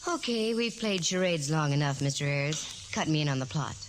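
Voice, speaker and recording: A woman's voice: low-pitched, expressive, normal speed, slight background noise. An actress playing a wry, self-assured female private eye in the style of 1940s radio detective drama. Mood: cool, firm. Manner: wry, breezy, quippy. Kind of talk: 1940s radio detective drama acting with first-person narration. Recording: vintage 1940s radio recording with music.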